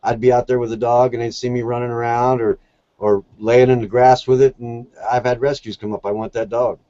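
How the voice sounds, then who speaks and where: A man's voice: mid-range voice, flat delivery, fairly quick, warm tone, no echo, muffled recording. A man talking casually as an interview guest, telling stories in a plain-spoken, earnest way. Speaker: podcast guest, shelter dog volunteer.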